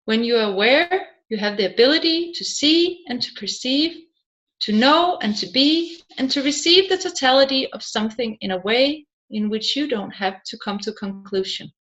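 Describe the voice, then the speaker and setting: A woman reading a scripted audiobook in a measured, deliberate way, phrase by phrase. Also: a little high, animated, fairly bright tone, highs cut off. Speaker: audiobook narrator.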